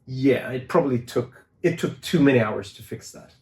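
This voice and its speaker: A man's voice: mid-range voice, moderate pace, a little mellow. A man in casual Q&A chat about making games, rambling and correcting himself, laughing often. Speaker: game studio staff, co-host. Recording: echoey room.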